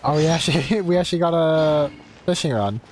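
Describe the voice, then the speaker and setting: A man's voice: on the high side, a little mellow. Casual young male game streamer. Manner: slangy, halting. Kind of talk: casual live gaming commentary.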